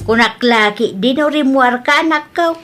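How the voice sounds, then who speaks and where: A woman's voice: on the high side, normal speed, over loud music, close to an everyday voice. A woman acting a concerned mother in an audio drama, coaxing and questioning. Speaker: drama actor.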